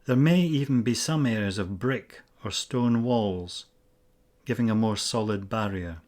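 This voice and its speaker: A man narrating a scripted guided meditation in a measured, instructional way with pauses between phrases. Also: fairly low pitch, a little mellow.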